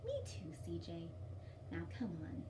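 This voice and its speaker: A woman voicing a wise, kindly grandmother in a children's storybook, gently instructive in manner. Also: lowish voice, unhurried, pitched below the performer's own voice, natural voice. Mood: soft, tender. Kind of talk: children's storybook read-aloud. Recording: distant mic, light background noise.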